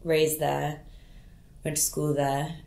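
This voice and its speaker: A woman in her late twenties from Hong Kong whose first language is French, telling her story in a relaxed, easygoing way. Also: low voice, slow pace, vocal fry, reverberant. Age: late 20s. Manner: relaxed, laughing easily, storytelling. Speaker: guest. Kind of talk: casual interview answers.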